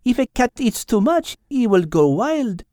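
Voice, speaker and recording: A man voicing a calm, matter-of-fact, elegant female cat. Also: high voice, takes it slowly, dry recording, studio quality, voice pushed up. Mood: calm, firm.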